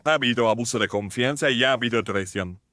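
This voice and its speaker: A man's voice: medium pitch, fast talker, pushed and bright, no room sound, lightly acted. A man playing a stern police inspector in an audio drama, formal and authoritative. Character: stern, official male police inspector, by the book. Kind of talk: dramatized audio story acting.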